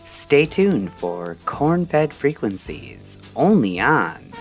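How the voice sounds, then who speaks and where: A man narrating a scripted story like a late-night radio host, in a measured, theatrical way with short phrases and pauses. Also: medium pitch, big pitch swings, faint music behind.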